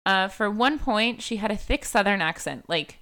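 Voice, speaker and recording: A woman's voice: mid-range voice, animated, normal speed, fairly bright tone. A young woman hosting a true-crime podcast, telling a case in a casual chatty way, full of "like" and "um" fillers. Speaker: podcast host.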